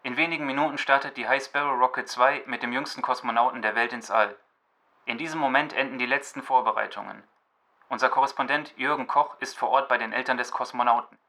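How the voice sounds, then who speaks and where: A man's voice: medium pitch, steady tone, fast, bright, forceful tone. A man playing a polished radio presenter announcing a special broadcast in an audio drama. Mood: upbeat, official.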